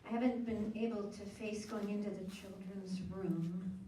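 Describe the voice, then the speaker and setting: A woman acting as a gentle, anxious wife in a radio drama, hesitant and conciliatory. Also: mid-range voice, fairly even intonation, moderate pace, lightly acted. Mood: hesitant, uneasy. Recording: very echoey room, distant, faint noise.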